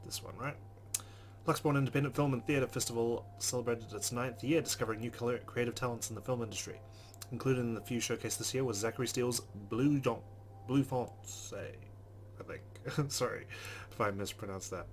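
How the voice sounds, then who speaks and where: A man's voice: medium pitch, steady tone, a little fast, slight background noise. A man hosting a let's play, reading game narration aloud and adding casual commentary.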